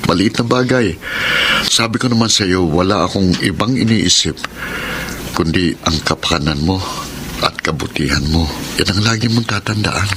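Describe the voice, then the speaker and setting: An actor playing a gentle, earnest, self-sacrificing young man in love in a Filipino radio drama. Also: low voice, normal speed, noisy background, close to an everyday voice. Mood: concerned, reassuring.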